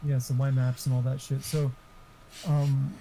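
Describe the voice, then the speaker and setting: Male, dark relaxed tone, little pitch movement. Casual, sweary, rambling gamer chat over faint noise and typing. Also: moderate pace, poor audio.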